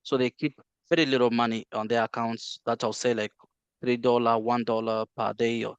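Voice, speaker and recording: A middle-aged man from the Congo, Swahili his first language, talks through an interview in a measured way with lots of "uh" and restarts. His pitch sits in the middle of a man's range. The sound is dry and close, with no room echo. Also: flat delivery, clear, resonant tone, lo-fi sound. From Democratic Republic of the Congo. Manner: measured, many "uh" fillers and restarts. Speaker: interview guest, healthcare interpreter. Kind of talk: casual interview, recounting his life.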